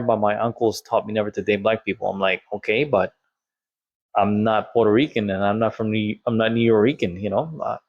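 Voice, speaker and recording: Man, lowish voice, candid vlog storytelling, dry close recording. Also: no echo. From Colombia. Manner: candid, conversational, quotes others in dialogue. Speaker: vlogger. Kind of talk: personal storytelling monologue.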